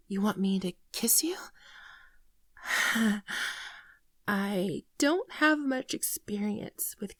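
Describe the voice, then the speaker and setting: A woman acts out a shy female alien in an ASMR roleplay, earnest and naive about humans. The voice is soft and often whispery, with a creaky edge. She speaks very slowly and tenderly, close to the mic in a very dry space. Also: medium pitch, no room sound. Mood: shy, nervous. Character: gentle shapeshifting female alien, naive, earnest, shyly affectionate. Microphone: close microphone, dry sound.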